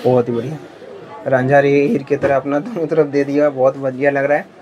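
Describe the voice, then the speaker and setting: A man's voice: medium pitch, flat delivery, fairly quick, dull audio. A man filming a shop-tour vlog in a card shop, talking to viewers with eager praise and repeating prices. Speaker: market vlogger.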